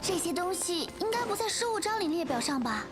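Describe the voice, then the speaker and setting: A woman voicing a bright young female character in an anime-style video game, over loud game music. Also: very high-pitched, big pitch swings, fast. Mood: bright, playful. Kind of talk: Chinese video game voice acting.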